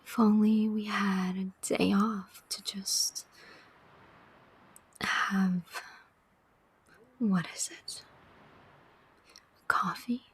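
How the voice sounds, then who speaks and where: Casting: woman to play female demon soul collector for ASMR roleplay. Very breathy whisper, extremely unhurried, sardonic, weary, teasing. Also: mid-range voice, flat delivery, exaggerated voice. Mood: teasing, wry. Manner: slow, deliberate, teasing, pausing often.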